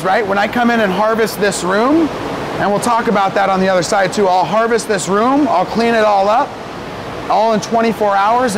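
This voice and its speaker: Tour guide: American man, forties, high-pitched, explains step by step, unscripted, noisy industrial room. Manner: plain-spoken, step-by-step explaining. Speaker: company president giving a facility tour. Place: large indoor grow room with fans running. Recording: loud rumbling fan and machinery noise.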